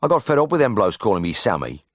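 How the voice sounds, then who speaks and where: Man putting on an anxious young Birmingham lad, pitched up. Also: very animated, quick pace, no room sound, studio quality. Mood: fed up. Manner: blunt, earnest, confiding. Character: naive anxious young working-class lad, a hospital patient. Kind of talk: audiobook character voice.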